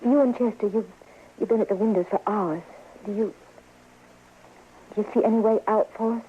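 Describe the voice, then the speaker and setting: An actress playing an earnest, principled frontier schoolteacher with an American accent and proper diction in an old radio western. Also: female voice, medium pitch, moderate pace, lightly acted. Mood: worried. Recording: muffled, faintly noisy old radio recording.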